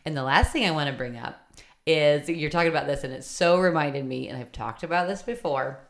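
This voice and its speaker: A woman in her late thirties co-hosting a podcast in casual chat, with lots of fillers and quick agreement. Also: deep voice, gravelly. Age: 30s. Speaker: podcast co-host.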